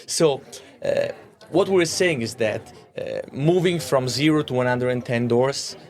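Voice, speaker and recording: A man of thirty answering interview questions in a halting way, often repeating words. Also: mid-range voice. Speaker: watch brand executive being interviewed.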